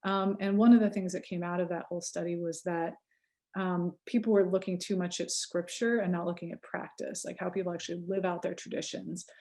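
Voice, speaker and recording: Interview guest: woman, Californian accent, lowish mellow voice, loose and chatty, full of fillers. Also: level tone, a little fast. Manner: chatty, many fillers and self-corrections, laughs easily. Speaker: guest researcher. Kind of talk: casual interview conversation.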